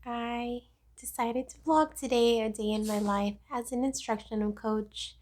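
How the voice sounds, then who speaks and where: A woman's voice: fairly high pitch, fairly even intonation, measured pace, darkish tone. An American woman, congested from a cold, giving a casual, chatty update as a vlogger. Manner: chatty, informal. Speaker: vlogger, pre-K instructional coach.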